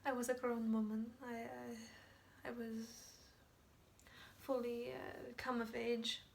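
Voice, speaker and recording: A woman acting a guilt-ridden, fragile Polish woman in a dramatic monologue, with a Polish accent and halting, stammering speech that often drops to whispers. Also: fairly high pitch, level tone, creaky, far from the mic, a little hiss or hum. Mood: bitter, confessional. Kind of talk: dramatic film monologue, amateur.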